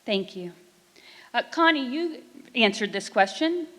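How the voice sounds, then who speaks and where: Woman, moderator, formal, polite, higher pitch, long reverb. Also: animated, measured pace, fairly bright tone. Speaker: forum moderator. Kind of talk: reading questions aloud. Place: performing arts center stage. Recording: reverberant auditorium.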